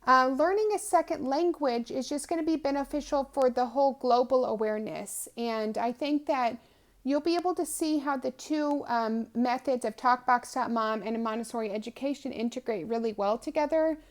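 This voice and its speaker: A woman in her thirties with a fairly high voice. She speaks conversationally, explaining things step by step in an informal testimonial style, like a parent presenting to other parents. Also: normal speed. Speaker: homeschooling mother presenting a language program.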